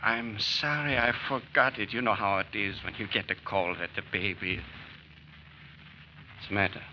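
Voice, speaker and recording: An actor playing an arrogant escaped Nazi officer, curt and coolly polite, in an old radio drama. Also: male voice, on the low side, steady tone, normal speed, lightly acted. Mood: guarded, evasive. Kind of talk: 1940s radio acting. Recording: muffled old radio drama with faint noise.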